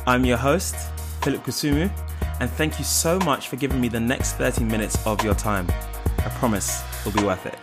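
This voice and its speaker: A man hosting a podcast, reading a lively intro and then interviewing a guest in a friendly, eager way. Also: mid-range voice, normal speed, loud music behind the voice. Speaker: podcast host.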